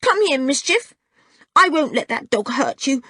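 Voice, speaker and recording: A woman's voice: high-pitched, sing-song, a little slow, sharp tone, dry recording, clean studio sound, voice pushed up, full character voice. A woman voicing a small, fierce, tearful and defiant boy in a children's story. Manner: fierce, furious outbursts. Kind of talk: children's audiobook character voice.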